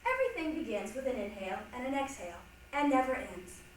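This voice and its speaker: High-voiced, quick woman reciting in echoey hall. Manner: expressive, carefully dramatic delivery. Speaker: reader's theatre performer. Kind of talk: theatrical recitation. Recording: echoey hall from far off, faint noise.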